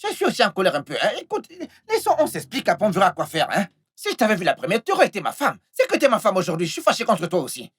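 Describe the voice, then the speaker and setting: A man's voice: sits high, wildly swooping pitch, rapid-fire, hardly any pauses, fairly bright tone, breathy edge, exaggerated voice. A man playing a volatile, scheming husband in a film melodrama, repeating himself and stammering through his words. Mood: pleading, soothing. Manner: repetitive, stammering delivery. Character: volatile, scheming unfaithful husband with a short temper.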